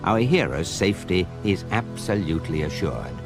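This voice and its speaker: An actor playing a wry, unflappable guidebook narrator, measured and deadpan, in a radio comedy. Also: male voice, deep voice. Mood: dry reassurance. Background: music.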